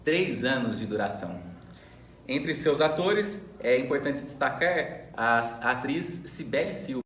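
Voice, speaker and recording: A man's voice: mid-range voice, expressive, unhurried, a bit bright. A man with a Brazilian Portuguese accent co-hosting a student radio program in a semi-scripted presenting style. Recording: roomy echo, faint background noise.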